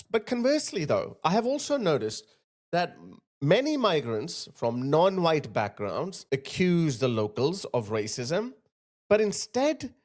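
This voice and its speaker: A man from Pakistan giving emphatic, sarcastic opinion commentary. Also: a little mellow. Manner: emphatic, sarcastic, big pitch swings.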